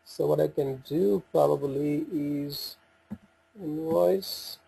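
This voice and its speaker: A man giving an unscripted software walkthrough, talking through each step in a halting way as he thinks aloud. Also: on the high side, vocal fry, breathy edge. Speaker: software demo presenter.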